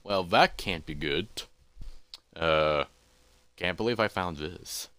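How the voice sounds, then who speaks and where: A man's voice: low voice, slow pace, sharp tone, slight background noise, voice pushed down, full character voice. Man acting a hesitant, musing scholar-adventurer. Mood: worried, hesitant. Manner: hesitant, muttering to himself. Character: cautious, scholarly male adventurer musing to himself.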